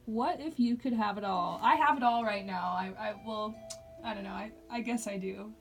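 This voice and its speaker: A young woman gives an intuitive reading in a relaxed, rambling way, scattering fillers as she goes. Her mid-range voice has a slight rasp from talking all day without water. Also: medium pitch, normal speed, faint music behind. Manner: chatty, lots of "like" and "um" fillers. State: slightly raspy, short of water. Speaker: clairvoyant reader. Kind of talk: casual one-on-one tarot-style reading.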